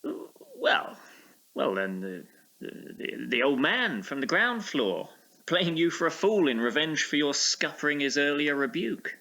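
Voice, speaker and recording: A male actor as a mild, jittery gentleman in a Gothic radio play. His voice is bright and tense and swings widely in pitch, with a polite, faltering, theatrical delivery. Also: big pitch swings, unhurried, exaggerated voice, rough recording. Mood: flustered. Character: mild-hearted, nervous gentleman listening to a ghost story. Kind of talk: Gothic audio drama acting.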